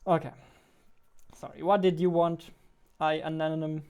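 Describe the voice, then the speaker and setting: A man, chatting casually on a livestream while he works, thinking aloud in a halting way. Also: fairly high pitch, flat delivery, a little mellow, clear, resonant tone. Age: 20s. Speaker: game developer and streamer. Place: indoor room at a computer, keyboard clicks behind.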